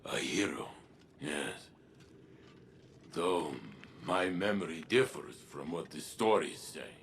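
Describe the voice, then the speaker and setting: An actor playing a weary, humble veteran warrior in a game cutscene, speaking in a measured, solemn way. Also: male voice, sits low, fairly bright tone, a little hiss or hum, full character voice. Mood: reflective. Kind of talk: dramatic game cutscene dialogue.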